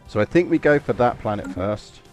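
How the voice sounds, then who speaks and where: A man's voice: medium pitch, animated, fairly quick, darkish tone, soft background music. An Englishman chatting casually while live-streaming a game, rambling and self-deprecating. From England. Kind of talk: casual live gameplay commentary.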